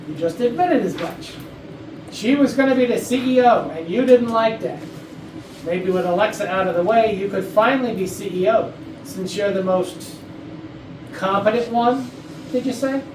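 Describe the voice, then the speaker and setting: A woman's voice: medium pitch, lightly acted. A woman as an authoritative detective, echoey room. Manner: deliberate, authoritative. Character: brisk, confident police detective laying out the case. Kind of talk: staged reading of murder mystery comedy. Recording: echoey room, distant mic, faint noise.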